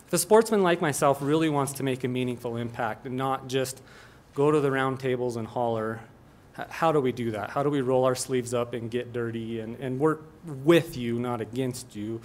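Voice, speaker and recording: A man's voice: mid-range voice, a little hiss or hum. A middle-aged man from Colorado giving an earnest, plainspoken public comment at a meeting, often restarting his sentences.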